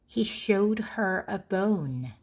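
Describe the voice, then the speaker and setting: Slow, expressive woman reading a fairy tale. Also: mid-range voice, slightly rough. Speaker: storybook narrator. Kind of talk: slow read-aloud storytelling for language learners.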